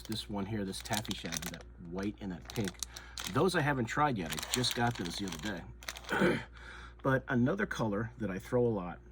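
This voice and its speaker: A man hosting his own fishing channel, talking casually and a bit nasally through a head cold, rambling and repeating himself with lots of ums. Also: on the low side. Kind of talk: casual show-and-tell chat. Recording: noise with crinkling plastic bags. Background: crinkling soft-plastic bait bags.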